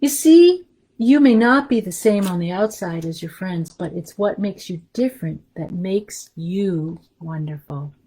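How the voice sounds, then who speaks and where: A woman's voice: lowish voice, expressive, unhurried, mellow. A woman hosting a story time for young children, reading aloud and chatting casually in simple, encouraging language.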